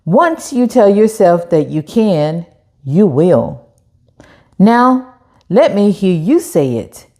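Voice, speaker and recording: A woman's voice: on the low side, very animated, slow, mellow, exaggerated voice. A patient storybook mom voiced by a woman reader, coaxing and reassuring. She speaks unhurriedly, with plenty of rise and fall in her voice. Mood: encouraging. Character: patient, encouraging storybook mother.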